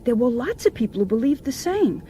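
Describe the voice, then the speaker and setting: A woman's voice: a little high, big pitch swings, brisk, warm tone, a little hiss or hum. An actress in a radio drama playing an anxious, guilty wife, hesitant and pleading as she speaks. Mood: hesitant, confessing. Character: anxious, guilt-ridden wife confessing to her husband.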